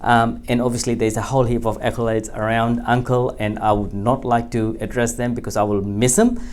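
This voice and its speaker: A man's voice: mid-range voice, flat delivery, brisk. A man hosting an interview in a friendly, respectful, chatty way. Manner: friendly, respectful, chatty, calls guest uncle. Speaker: interview host. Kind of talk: casual interview.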